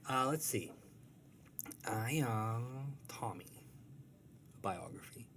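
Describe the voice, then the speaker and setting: Guy guest with a lowish voice, jokey and self-deprecating, kinda halting, some background noise. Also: lively intonation, fairly bright tone. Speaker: guest, comic strip artist. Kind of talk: casual interview chat.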